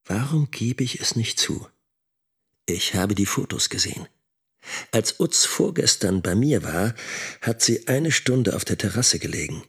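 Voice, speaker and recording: An actor playing a middle-aged schoolteacher and father, brooding and scheming in a deliberate inner monologue for radio drama. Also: lowish voice, lively intonation, fairly bright tone, husky, heavily acted. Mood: brooding, troubled.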